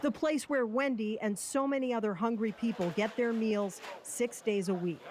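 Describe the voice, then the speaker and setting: A woman's voice: a little high, moderate pace, a little mellow, slight background noise. A woman with an American accent reading scripted narration as a television news reporter.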